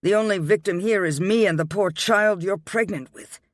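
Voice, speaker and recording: A man's voice: sits high, normal speed, dry recording, clean studio sound, voice pushed up, natural voice. A man voicing a cold, furious husband who sneers with icy contempt. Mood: icy sneering.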